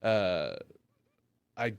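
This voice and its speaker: A man, a stand-up comedian, chatting loosely on a podcast while high, rambling and cursing often. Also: very animated, very slow, creaky, smooth, no room sound, dull audio. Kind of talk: casual podcast chat.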